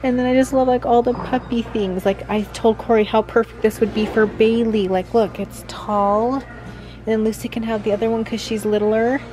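A woman's voice: fairly high pitch, fairly even intonation, normal speed, music in the background, rough recording. A middle-aged American woman chatting casually to viewers, informal and thinking aloud as she goes. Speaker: family vlogger.

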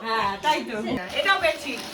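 A woman's voice: high-pitched, big pitch swings, brisk, a crowd in the background. A woman in casual family conversation. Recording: off-mic amid chattering voices.

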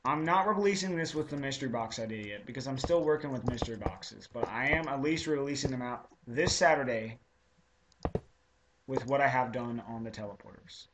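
Hoarse teen gamer, chatty and rambling, mid-pitched, recorded with audible noise. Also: male voice, brisk. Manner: rambling, chatty, self-interrupting. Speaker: video creator. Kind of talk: casual gameplay commentary. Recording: noisy home recording.

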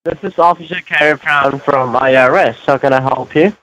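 A man's voice: fairly high pitch, normal speed, very noisy. Probing man on a phone line. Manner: repetitive, probing questions. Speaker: call center agent. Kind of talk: phone call.

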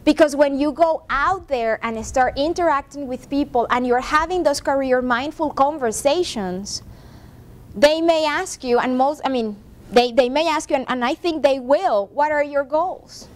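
A woman's voice: high voice, moderate pace. A woman in her forties presenting a professional development workshop to a seated class, talking conversationally and often checking in with "right?". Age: 40s. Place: classroom with a seated audience, projector screen. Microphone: far room camera.

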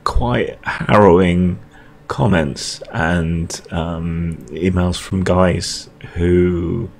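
A man talking alone in a weary, halting monologue with frequent ums and restarts, reacting to a debate for his video channel. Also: low voice, fairly even intonation, gravelly. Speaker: video blogger.

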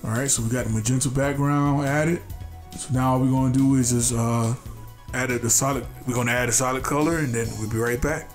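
A man's voice: medium pitch, steady tone, a little fast, soft background music. Congested, nasal-sounding man. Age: adult, a father. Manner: loose and repetitive, restarting phrases, many fillers. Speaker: art tutorial host. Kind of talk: casual screen-recorded drawing tutorial.

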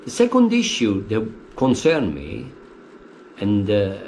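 A middle-aged man with an Italian accent talks slowly and haltingly, filling pauses with "uh" while his pitch rises and drops. His voice sits fairly low. It is picked up by a webcam in a living room with a faint hum behind him. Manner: hesitant, many uh fillers, animated pitch swings. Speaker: football fan vlogger. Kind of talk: opinionated casual commentary. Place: living room at home, indoors.

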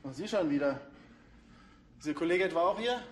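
A man's voice: a little high, animated, brisk, slight background noise, natural voice. An actor playing an irritated, officious male firearms expert in a TV crime series.

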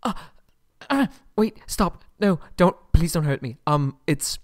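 Man acting a halting, timid captive prince. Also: a little high, lively intonation, no echo, studio quality. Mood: frightened, pleading. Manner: halting, stammering, pleading. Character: nervous, submissive, polite young prince held captive. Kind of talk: ASMR roleplay audio drama.

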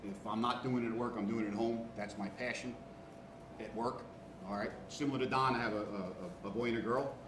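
A man's voice: mid-range voice, steady tone, brisk. A man in his fifties with a Queens, New York accent, giving a casual talk to students as a guest engineer and checking in often with the room. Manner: conversational, keeps checking in with "all right?" and "okay?". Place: large classroom, indoors. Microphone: far room camera. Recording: echoey classroom from far off, noisy.

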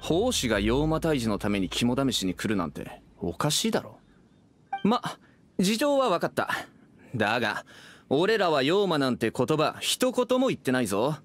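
A man's voice: medium pitch, wildly swooping pitch, moderate pace, faint music behind. An actor playing a protective, irritated young man in an anime game, blunt and brusque with his words. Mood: grudging. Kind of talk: anime game character acting.

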